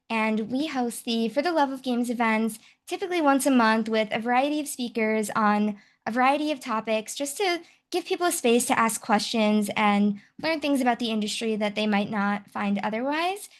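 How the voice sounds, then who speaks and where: A woman hosts an event and introduces a speaker in a friendly, casual tone. Her voice is a little higher than most and keeps an upbeat lift. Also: normal speed. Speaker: event host.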